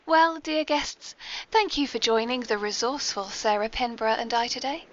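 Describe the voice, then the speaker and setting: Woman playing a high-voiced, prim British lady mastermind. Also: normal speed, no echo, close to an everyday voice. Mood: genteel, gracious. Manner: prim, formal, arch, polite. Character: genteel, mischievous lady mastermind with secret lair. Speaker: podcast host. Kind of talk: scripted comic audio drama. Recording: dull lo-fi podcast recording.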